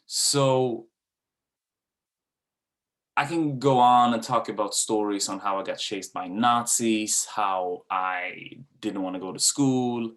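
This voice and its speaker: A man's voice: fairly low pitch, takes it slowly, fairly bright tone. A man in his thirties from Sweden with a Swedish accent, a dance coach giving a candid webinar talk with casual fillers and swearing. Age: 30s.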